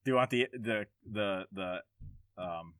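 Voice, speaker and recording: A man hosting a casual gaming podcast quiz, reading questions and answers aloud in a somewhat halting way. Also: lowish voice, fairly bright tone, smooth, no echo, clean studio sound.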